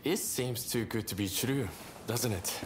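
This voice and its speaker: An actor playing a courteous wounded samurai in a video game, speaking in an earnest, polite, measured manner. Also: male voice, mid-range voice, natural voice. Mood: amused. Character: grateful, courteous wounded samurai activist recovering. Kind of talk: dramatic video game cutscene acting. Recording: game dialogue over clear background music.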